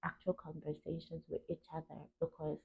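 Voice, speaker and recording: Phone-like recording of a gravelly, earnest woman. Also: low voice, monotone. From Cameroon. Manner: earnest, emphatic, pausing between short phrases. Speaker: podcast host. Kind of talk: reflective personal talk. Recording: tinny phone-like narrow band.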